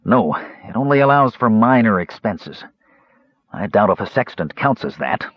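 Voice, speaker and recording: Man acting an intense, husky, secretive mage. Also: on the low side, very animated, dry recording, lo-fi sound. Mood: dry. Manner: quiet, deliberate, intense. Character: terse intense male mage, secretive and imperious.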